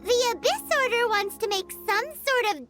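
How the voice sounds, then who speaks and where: A woman voicing a tiny, childlike, excitable fairy companion in a video game, exclamatory in manner. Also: extremely high pitch, normal speed, music in the background. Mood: surprised, excited. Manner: refers to self in third person, exclamatory.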